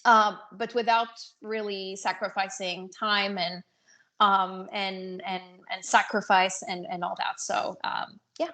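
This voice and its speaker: A woman answering casually as an interview guest, earnest and somewhat halting as she searches for words. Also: medium pitch, little pitch movement, pushed and bright. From North Africa and France. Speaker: podcast guest, business coach.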